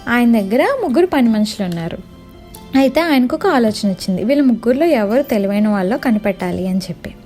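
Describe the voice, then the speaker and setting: A woman telling a bedtime story to children in an animated way, addressing them directly and mixing in English words. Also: a little high, quick pace. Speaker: storyteller and channel host. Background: faint music.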